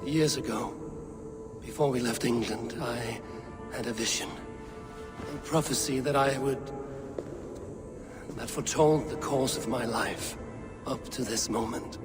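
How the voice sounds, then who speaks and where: Casting: man to play a steadfast young Viking warrior, very slow, solemn delivery, earnest and loyal, music underneath. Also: medium pitch, natural voice. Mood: solemn, uncertain. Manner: solemn, deliberate. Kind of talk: dramatic game cutscene acting. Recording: clear music behind the voice.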